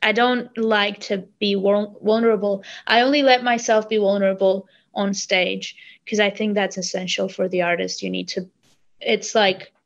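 A young woman from Armenia talks in an interview, her first language Armenian, pausing and restarting often as she reaches for the right word. Her pitch sits mid-range for a woman. The sound is a little dull. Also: fairly even intonation, creaky. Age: young adult. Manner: halting, searching for words, many restarts. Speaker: singer. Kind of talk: casual interview.

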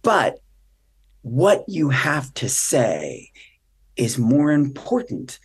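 An older woman voicing a frank, encouraging young female friend in a story she tells, with a husky voice, gently insistent. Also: extremely low pitch, wildly swooping pitch, drawn-out words, full character voice. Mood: urging.

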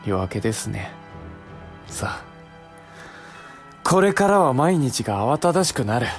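Samurai's younger brother, earnest, outspoken, very lively intonation, formal archaic politeness, period audio drama, music behind. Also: male voice, mid-range voice, unhurried. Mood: resigned, approving. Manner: formal, polite archaic speech, pointed and pleading.

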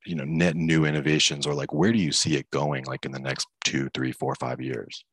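A man's voice: very deep voice, flat delivery, a little fast, breathy edge, no echo, studio quality. A man hosting an interview podcast in casual, informal chat peppered with filler phrases. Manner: informal, frequent "like" and "you know".

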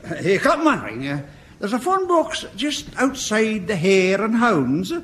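Doubting older soldier, high, animated voice, gloomy and argumentative, noisy old comedy recording. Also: male voice, a little slow. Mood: contrary. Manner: dry, contrary, doubting. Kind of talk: British sitcom acting before an audience.

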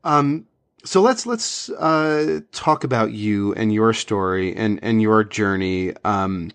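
Guy hosting an interview, eager rambly questions, lowish voice. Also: lively intonation, slow pace, fairly bright tone, clean tone, no room sound.

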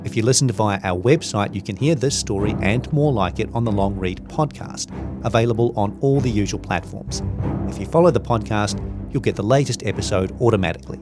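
A man's voice: on the low side, a little fast, over music. A man reads a scripted podcast outro as the announcer. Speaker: podcast announcer reading credits.

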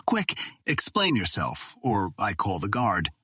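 A man voicing a stern, suspicious night sentry, husky. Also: lowish voice, wildly swooping pitch, slow, bright, forceful tone, no room sound, studio quality, heavily acted. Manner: stern, challenging. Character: suspicious, commanding male sentry on night guard.

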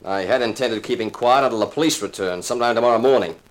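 A man's voice: medium pitch, fast talker, close to an everyday voice. An actor playing a tough, wisecracking insurance investigator who narrates his own case in a 1950s radio crime drama, with a sardonic, commanding manner. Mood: deliberate, firm. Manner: hard-boiled, sardonic, commanding.

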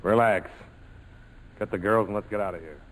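An actor playing a tough, cynical skipper, terse and wry, in a 1940s radio drama. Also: male voice, lowish voice, moderate pace, close to an everyday voice, low-quality recording. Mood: curt, sardonic. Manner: terse, hard-boiled, wry. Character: tough, cynical army-major skipper, cool and worldly. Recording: old 1940s radio broadcast, music underneath.

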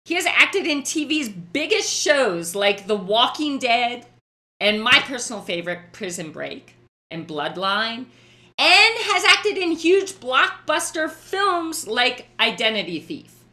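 A woman's voice: fairly high pitch, big pitch swings, takes it slowly, bright, forceful tone. A woman hosting a podcast who interviews her guest with effusive praise. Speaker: podcast host.

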